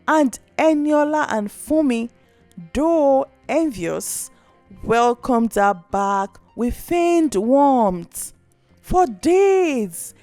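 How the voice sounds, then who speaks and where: A woman narrating a scripted folktale as a storyteller, with an expressive, dramatic delivery. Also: sits high, no echo. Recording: music behind.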